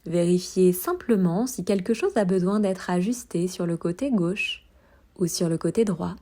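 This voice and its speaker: Female meditation guide: calm, soothing, lowish mellow voice, soft delivery with unhurried pauses. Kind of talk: guided meditation, body scan.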